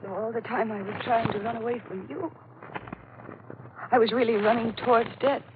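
A woman's voice: a little high, steady tone, normal speed, natural voice. An actress playing a terrified young woman in a 1940s radio thriller, pleading with breathless melodrama. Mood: shaken. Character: terrified young heiress attacked by her cousin. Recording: tinny, noisy old radio recording.

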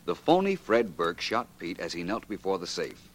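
A low-pitched American detective, shrewd and sure of himself, with lively swings of intonation. He lays things out deliberately and wryly in classic 1940s radio drama style. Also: a little hiss or hum. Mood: confident explaining. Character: shrewd, self-assured male detective. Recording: vintage 1940s radio recording.

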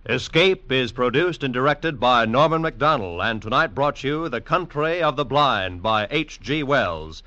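A man's voice: mid-range voice, expressive, measured pace, hardly any pauses, sharp tone. A man with an American accent announcing formally and with polish in 1940s radio style. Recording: muffled vintage radio, music behind.

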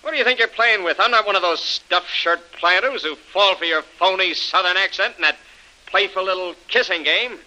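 A bullying, hard-boiled villain of an old radio serial, firing off clipped threats with gangster swagger. His voice is bright and cutting and sits a little high for a man. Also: moderate pace, low-quality recording. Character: menacing male villain, tough-talking bully. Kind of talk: 1930s radio acting.

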